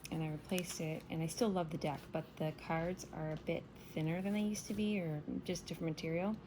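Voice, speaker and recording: A woman giving a casual morning tarot reading, soft-spoken and meandering, her voice still scratchy from waking up. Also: low-pitched, steady tone, a little fast. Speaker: tarot reader.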